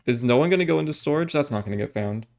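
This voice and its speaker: A man's voice: medium pitch, racing, creaky. A male streamer chatting while he plays and reading out thanks to his viewers one by one. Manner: reads out thanks for subscriptions in a flat list. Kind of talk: casual gaming livestream chat.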